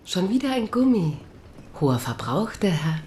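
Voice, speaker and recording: A woman's voice: on the low side, very animated, normal speed, darkish tone. An actress playing a teasing shop woman in a radio play. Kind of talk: German radio play dialogue.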